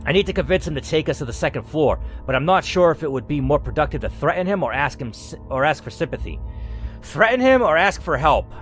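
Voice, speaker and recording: Animated man reading a story aloud. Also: fairly high pitch, fast, faint music behind. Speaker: YouTuber reading an interactive story. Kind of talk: story reading with reactive asides.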